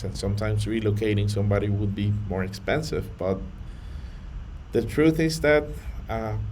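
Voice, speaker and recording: Guy vlogging to camera, earnest, measured, some uhs, bit of faint room hiss. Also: mid-range voice. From Colombia. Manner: earnest, measured, with fillers and restarts. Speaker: vlogger on data careers. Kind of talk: to-camera talk.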